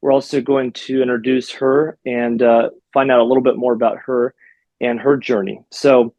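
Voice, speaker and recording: Podcast host guy, friendly and chatty, lots of ums, mid pitch, dry close sound. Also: fairly even intonation, moderate pace, darkish tone, no room sound, muffled recording. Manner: friendly, hesitant with fillers. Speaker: podcast host, physical therapist. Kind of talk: casual interview podcast.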